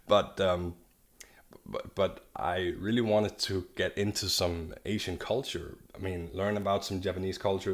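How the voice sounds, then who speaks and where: A man from Denmark, giving an enthusiastic, rambling video review of a manga and often restarting his sentences. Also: deep voice. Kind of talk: casual video review monologue.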